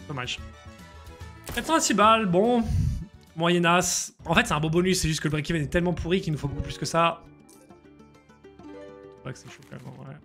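A man, live-streaming slot games and chatting excitedly with viewers over a headset in a small room. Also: fairly high pitch, moderate pace, creaky, long pauses, soft background music. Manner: excitable, slangy, chats with viewers. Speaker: casino streamer.

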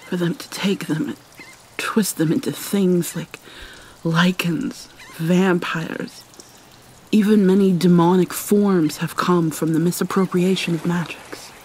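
A woman performs a haunted mermaid cursed with vampirism in an ASMR roleplay. She speaks very slowly and mournfully, with long pauses, her soft breathy voice often sinking to a whisper. Steady rain runs behind her. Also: lowish voice, a little hiss or hum, natural voice. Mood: solemn, wistful. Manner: halting, mournful, dramatic pauses. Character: vampire mermaid, sorrowful young-looking princess, centuries old, haunted. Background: rain ambience.

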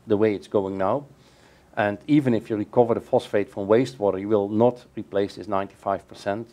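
A middle-aged man, answering panel questions in a measured, explanatory way with frequent restarts. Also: fairly low pitch, a little mellow. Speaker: keynote speaker. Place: bright room by large windows over a canal.

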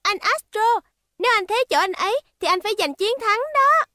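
A woman's voice: very high-pitched, expressive, normal speed, pushed and bright, dry recording, studio quality, full character voice. A woman playing an earnest little robot girl in a Vietnamese cartoon dub. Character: little robot girl, earnest sister urging her brother on.

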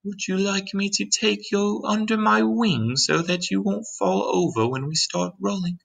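A man voicing a kind, gentle female ladybug from a storybook, who talks in a grand, reassuring, wordy way. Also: sits high, a little slow, creaky, husky, voice pushed up, full character voice. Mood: explaining. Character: kind gentle motherly ladybug, excited and talkative. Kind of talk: storybook read-aloud character voice.

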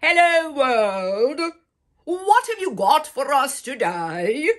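Woman putting on a booming, jolly old grandpa. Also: very animated, slow, exaggerated voice. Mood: booming, exuberant. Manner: booming, theatrical. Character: loud, jolly old grandfather, playfully bossy and carefree. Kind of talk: performed picture-book reading.

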